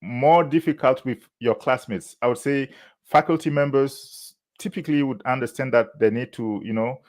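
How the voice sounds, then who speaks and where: A man from Burkina Faso, speaking English as a later language, giving measured advice as a university admissions officer, with many "uh" pauses. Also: medium pitch. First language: not English. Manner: measured, frequent "uh" fillers, warm laughs. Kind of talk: interview answers, advice to students.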